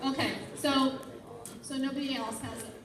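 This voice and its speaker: A woman's voice: high-pitched, steady tone, normal speed. A woman teaching a class, playfully teasing her students as they guess where she is from. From Burbank, California. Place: large classroom or hall. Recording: echoey room, distant mic, chatter.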